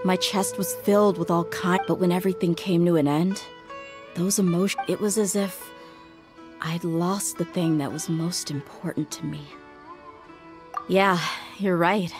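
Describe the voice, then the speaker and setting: A woman acting a tough but warm-hearted young female mercenary in a video game, speaking frankly and reflectively. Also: lowish voice, steady tone, unhurried, music in the background, close to an everyday voice.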